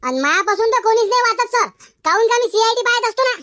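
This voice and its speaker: A man voicing a cheeky, boastful village schoolboy in a comedy cartoon, arguing in a Varhadi Marathi accent through a pitched-up effect. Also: animated, fast talker, pushed and bright, exaggerated voice. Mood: cheeky, eager. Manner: argumentative, indignant, backtalking.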